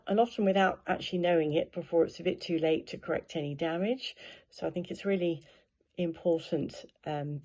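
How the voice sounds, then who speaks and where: A woman in her fifties, a former doctor, speaking thoughtfully with careful pauses. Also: low voice, mellow. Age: 50s. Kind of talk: personal testimony.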